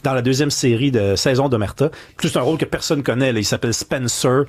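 A man's voice: medium pitch, quick pace, husky. A man with a Québécois French accent, talking conversationally and emphatically as a commentator, telling stories and quoting people. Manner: earnest, emphatic storyteller who acts out quotes.

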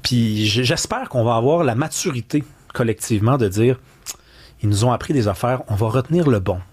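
A man's voice: mid-range voice, a little fast, darkish tone, husky. A man with a Québécois French accent, talking conversationally and emphatically as a commentator, telling stories and quoting people. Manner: earnest, emphatic storyteller who acts out quotes.

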